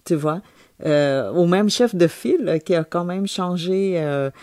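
A woman with a fairly low voice hosts an interview. She narrates the scene-setting links and puts encouraging, friendly questions to her guest. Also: a little slow, dark tone. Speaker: host.

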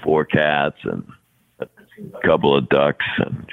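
A man's voice: very deep voice, expressive, takes it slowly, gravelly. A man in his sixties, a podcast guest talking casually and at length, often repeating words and laughing between thoughts. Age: 60s. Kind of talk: casual interview conversation. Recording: tinny phone-like line.

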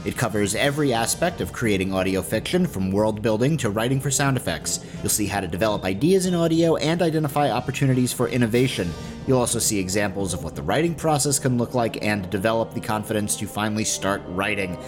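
Low-voiced man hosting over music. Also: expressive, quick pace, hardly any pauses. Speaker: podcast host. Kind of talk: informal scripted announcements and sponsor read.

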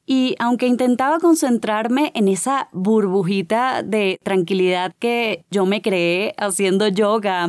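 A woman's voice: mid-range voice, no room sound, studio quality. Upbeat Caracas-accented woman hosting. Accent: Caracas Venezuelan Spanish. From Venezuela. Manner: upbeat and warm, personal storytelling. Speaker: podcast host. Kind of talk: scripted motivational podcast narration.

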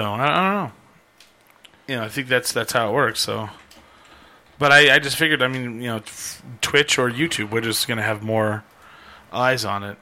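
A man hosting a live-streamed chat show, rambling loosely with casual swearing and a voice going hoarse. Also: medium pitch, pushed and bright. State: losing his voice. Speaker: podcast host.

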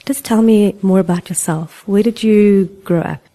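A woman hosting a podcast interview, asking calm, friendly questions. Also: mid-range voice, normal speed. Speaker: podcast host.

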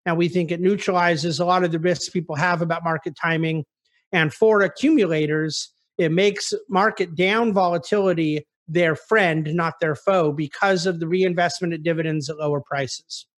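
A man's voice: a little high, steady tone, moderate pace. A man speaking as an investment officer on a conference call, giving articulate, emphatic explanations in answer to investor questions. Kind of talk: conference call market commentary.

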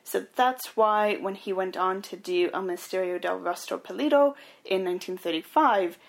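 A woman's voice: medium pitch, moderate pace, gravelly. A woman co-hosting a casual podcast, chatting and recapping information in an informative way. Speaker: podcast co-host.

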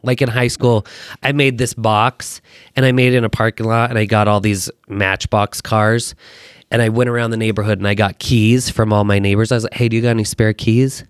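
American dad telling stories, dry close sound. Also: male voice, lowish voice, fairly quick, dry recording. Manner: animated, self-deprecating joking with acted-out quotes. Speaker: podcast guest, a father. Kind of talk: casual chat, personal storytelling.

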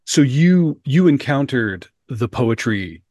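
A man's voice: medium pitch, expressive, slow pace, a little mellow, no echo. A man co-hosting a podcast, interviewing guests in a thoughtful, playful way. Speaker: podcast co-host.

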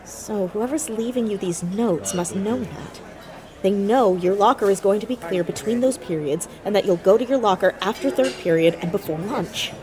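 A woman's voice: medium pitch, moderate pace, crowd noise, close to an everyday voice. A young woman playing a blunt, sardonic, self-conscious teenage girl in a naturalistic audio drama, easily exasperated. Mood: inquisitive.

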